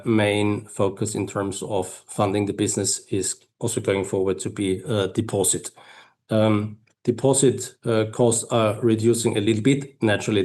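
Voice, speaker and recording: A man speaking as a finance executive on an earnings call, explaining figures in a measured, halting way. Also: lowish voice, a little mellow. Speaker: finance executive presenting company results. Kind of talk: earnings call presentation and answers.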